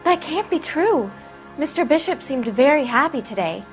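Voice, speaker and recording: A woman's voice: high-pitched, expressive, normal speed, faint music behind. A woman voicing a naive, frightened teenage girl in a video game, hesitant and plaintive. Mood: hesitant, fearful.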